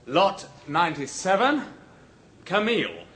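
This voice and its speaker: An actor playing an auctioneer in a radio drama, calling bids in a formal manner. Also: male voice, high voice, huge pitch swings, a bit bright, full character voice. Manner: formal, rapid bidding calls. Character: brisk auctioneer at a London sale.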